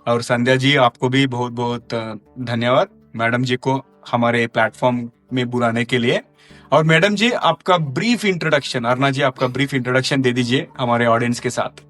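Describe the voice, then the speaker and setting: A man's voice: medium pitch, normal speed, fairly bright tone, faint music behind. A man hosting an interview podcast, effusive and respectful, addressing his guest formally. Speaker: podcast host.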